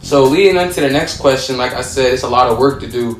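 A man's voice: mid-range voice, quick pace. Casual male host on an echoey call. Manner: informal, slangy. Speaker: interview show host. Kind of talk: casual interviewing. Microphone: video call. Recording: echoey video-call audio, faint music.

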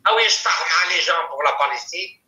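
A man's voice: on the high side, expressive, quick pace, pushed and bright. A man with an Algerian accent chatting casually as a caller, telling mocking stories and mixing two languages. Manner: mocking storyteller, switching between French and Arabic. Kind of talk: casual chat. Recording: echoey radio-like call audio.

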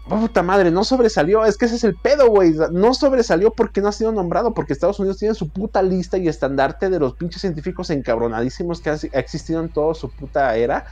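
A man in his thirties with a Mexican accent, chatting casually as a show host, profane and rambling, recorded on a webcam in a small room. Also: mid-range voice. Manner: profane, rambling, animated with hand gestures. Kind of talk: casual chat.

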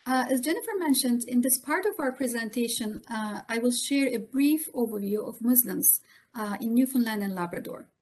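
Higher-voiced woman. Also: lively intonation, normal speed, a little mellow. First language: Turkish. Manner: polite and formal, with frequent fillers. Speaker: presenter. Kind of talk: formal presentation on a video call. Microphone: video call, webcam in a home room.

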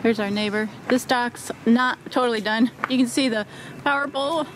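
A woman's voice: on the high side, moderate pace, low-quality recording. A woman hosting a sailing vlog, giving an upbeat, chatty tour and interviewing a crew member outdoors at a marina. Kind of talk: casual vlog narration and tour. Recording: outdoor noise in background.

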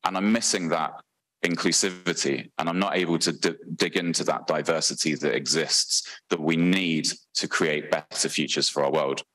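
A very deep-voiced British man gives a conference talk into a handheld mic. He speaks earnestly, with plenty of ums and self-corrections. The sound is close and dry. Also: steady tone, moderate pace, a bit bright, no echo, rough recording. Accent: British English. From Britain. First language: English. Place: large conference hall, on stage.

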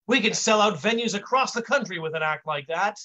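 A man's voice: sits high, lively intonation, a little fast, bright, forceful tone, pitched above the performer's own voice. A man voicing an irascible, blustering talent agent boss, with emphatic delivery, in a comic story read aloud. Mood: delighted, excited.

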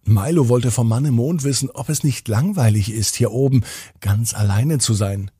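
A man's voice: medium pitch, moderate pace, dark tone, breathy edge, no echo, studio quality. A man telling a bedtime story for children, expressively and addressed straight to the young listener.

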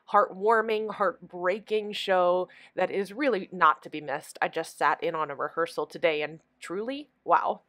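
A woman's voice: on the low side, a bit bright. A woman delivering an enthusiastic, emphatic promotional announcement as a theatre artistic director.

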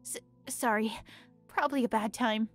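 A woman's voice: a little high, moderate pace, soft background music. A woman voicing an unsure but determined young heroine who hesitates and stammers, in a fan audio drama. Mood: earnest.

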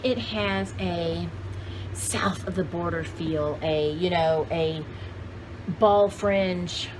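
A woman in her sixties chats casually to camera as a vlog host, rambling and enthusiastic, with exclamations. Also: fairly low pitch. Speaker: vlog host showing thrift haul finds. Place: cluttered home craft room, indoors. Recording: clearly noisy home video background.

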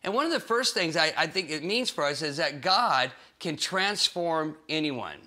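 A man in his forties teaching a Bible lesson for small groups, acting out the voices of figures in the story, in a cozy living room set. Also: fairly high pitch. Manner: animated, acts out voices in the story. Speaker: pastor.

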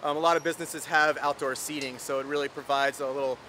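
A man's voice: on the high side, fairly even intonation, fast, sharp tone, a little hiss or hum. A man who advocates for cycling, talking casually with "um" fillers, on camera outdoors on a city street. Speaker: bike advocate.

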